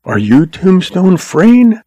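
A man's voice: sits high, big pitch swings, slow pace, dark tone, breathy edge, no echo, voice pushed up, full character voice. A man voicing a young, slender schoolteacher woman who pleads in a quivering voice. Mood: pleading.

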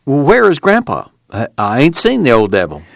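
Audiobook role: folksy young farm son fresh from prison, done by a man, teasing but bashful, very animated pitch. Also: normal speed, gravelly, no room sound, studio quality. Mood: curious. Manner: folksy, ungrammatical country talk. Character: Tom, plainspoken young ex-convict son with rural drawl, teasing yet shy.

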